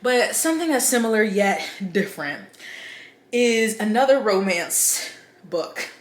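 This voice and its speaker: A woman's voice: medium pitch, very animated, measured pace. Female book commentator, mid-range voice, casual and chatty with incredulous asides; noticeably echoey recording. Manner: wry, incredulous, conversational asides.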